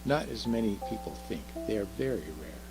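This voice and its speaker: Kindly old Christmas gift-giver, played by a man, gentle and reassuring, very animated, gravelly edge, storybook style over music. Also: lowish voice, fairly quick, rough recording. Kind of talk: children's storybook read aloud.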